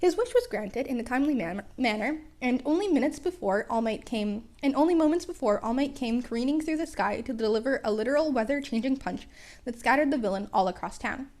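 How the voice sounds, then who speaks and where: Higher-voiced woman reading a story aloud. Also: a little mellow. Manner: wry, playful asides with stumbles over the text. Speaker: podfic reader. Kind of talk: casual amateur story narration.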